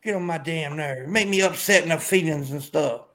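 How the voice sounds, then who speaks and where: A man with a raspy voice and an American accent, bantering casually and profanely as a livestream host. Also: fairly high pitch, normal speed, a bit bright.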